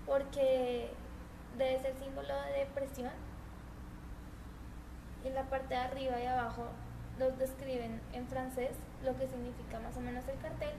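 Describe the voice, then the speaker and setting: A woman presenting a history documentary, reading earnestly with small stumbles and restarts. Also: sits high. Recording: noisy homemade recording.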